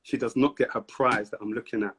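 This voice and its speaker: A man's voice: mid-range voice, steady tone, a little fast, fairly bright tone, breathy edge. A man hosting a live-streamed interview, upbeat and hyping up the audience between questions. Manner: upbeat hype, repeats prompts to the audience. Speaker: host.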